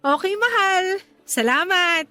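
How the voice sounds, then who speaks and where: A woman's voice: very high-pitched, lively intonation, a little slow, pushed and bright, heavily acted. A Filipino woman playing a sweet, put-upon young shopkeeper in a radio drama. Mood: sweet, placating.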